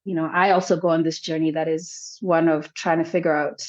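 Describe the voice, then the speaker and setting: Low-voiced woman filmmaker, thoughtful. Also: level tone, fairly quick, highs cut off. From South Sudan. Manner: thoughtful, many restarts and hedges. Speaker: filmmaker guest. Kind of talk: reflective interview answers.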